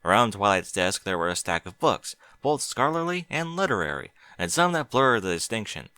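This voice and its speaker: A man reading scripted fiction as an audiobook narrator, measured and expressive. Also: fairly low pitch, no room sound.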